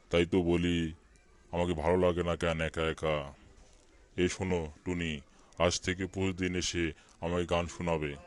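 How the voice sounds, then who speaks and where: Guy being a friendly old-style cartoon tree, gentle n caring, deep flat voice. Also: clean tone. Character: kind protective talking tree, friend to bird. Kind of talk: cartoon voice acting.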